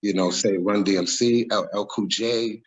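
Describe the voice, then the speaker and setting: A man, speaking on a video call as an interview guest. His voice sits very low and sounds husky. He reflects on the past at length, pausing with ums and often correcting himself. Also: fairly even intonation, faint music behind. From New York, USA. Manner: rambling, reflective, frequent ums and self-corrections. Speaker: interview guest, former music manager. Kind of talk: casual storytelling interview. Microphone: video call webcam.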